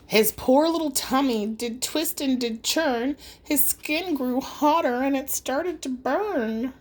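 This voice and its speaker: A woman's voice: sits high, slow, slight background noise. A woman reading a rhyming children's story aloud as an audiobook, in a dramatic, singsong storytelling manner. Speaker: audiobook reader.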